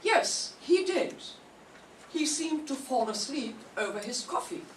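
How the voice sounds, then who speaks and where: An older woman playing a genteel, fretful housewife in an old radio mystery, theatrical and halting. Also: high-pitched, sing-song. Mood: shocked. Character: genteel housewife hostess, fretful, suddenly widowed. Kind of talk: 1940s radio mystery acting. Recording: roomy, distant mic, faint noise.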